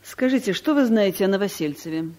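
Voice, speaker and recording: A woman's voice: medium pitch, expressive, fairly quick, a little mellow, lightly acted. An actress playing a stern, imperious female director in a radio comedy, speaking formally and categorically in short questions and statements. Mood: brisk, curious. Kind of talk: radio comedy play acting.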